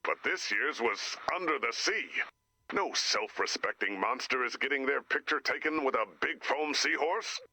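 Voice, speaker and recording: A man voicing a slick, pompous corporate director spouting business jargon in a video game, gravelly and husky. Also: medium pitch, normal speed, bright, forceful tone, dry recording. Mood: sulky, earnest. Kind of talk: video game character voice lines.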